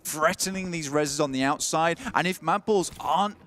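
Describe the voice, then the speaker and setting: A man doing color commentary on a live esports match, relaxed and self-deprecating, mixing analysis with jokes. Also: a little high, normal speed, background noise. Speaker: esports co-caster.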